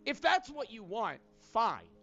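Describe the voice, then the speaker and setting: A man's voice: very high-pitched, wildly swooping pitch, measured pace, fairly bright tone, faint music behind. A man in his twenties giving an emphatic motivational monologue about living for yourself, swearing often. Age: 20s. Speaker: online video creator. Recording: dull lo-fi sound from a played video.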